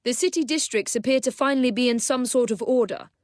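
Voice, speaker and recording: A woman voicing a confident young Victorian English assassin and gang leader in a video game, crisp, commanding and wryly polite. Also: a little high, fast, no echo, studio quality, natural voice. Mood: cool, controlled.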